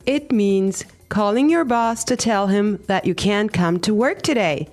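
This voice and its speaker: A woman co-hosting a language lesson podcast, conversational, clear and instructive. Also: medium pitch, measured pace, darkish tone. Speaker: podcast co-host and language teacher.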